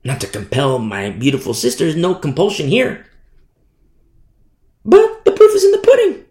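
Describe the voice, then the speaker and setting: A man preaching a sermon in an emphatic, repetitive style, swinging from hushed to loud. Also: on the high side, sing-song, normal speed. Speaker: pastor. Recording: roomy echo.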